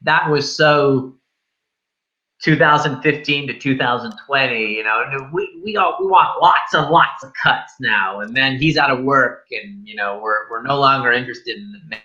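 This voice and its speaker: A man's voice: mid-range voice, normal speed, bright, forceful tone, lo-fi sound. A man talking casually about films in an emphatic, colloquial, slangy way, like a show host among friends. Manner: emphatic, colloquial, swears freely. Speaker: film review show host. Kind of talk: casual, slangy film chat.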